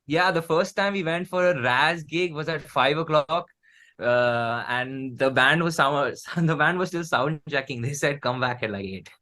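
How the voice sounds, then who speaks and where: A man's voice: a little high, unhurried, pushed and bright. A man in his thirties with an Indian accent, chatting casually as an interview guest. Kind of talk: casual chat.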